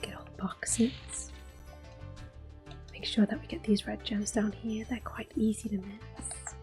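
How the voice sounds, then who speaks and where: Whispering woman doing soft ASMR commentary. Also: medium pitch, steady tone, soft background music. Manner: gentle, unhurried, reassuring. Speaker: ASMR gameplay host.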